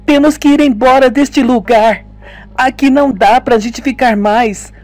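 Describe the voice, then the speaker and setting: A man voicing a frightened young woman who insists on leaving, in a strained falsetto, for a homemade radio drama. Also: unhurried, darkish tone, soft background music, rough recording. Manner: high strained falsetto, pleading.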